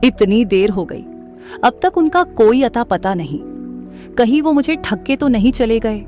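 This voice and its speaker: A woman's voice: fairly high pitch, big pitch swings, measured pace, darkish tone, faint music behind. A woman playing a gullible, trusting young village wife in a cartoon moral story. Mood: worried.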